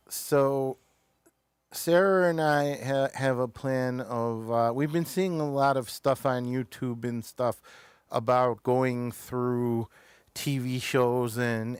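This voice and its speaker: An American man hosts an unscripted talk show at an unhurried pace. His voice is smooth and clear, recorded dry and close. He speaks hesitantly, wandering through his sentences and sometimes leaving them unfinished. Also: medium pitch, steady tone, no echo.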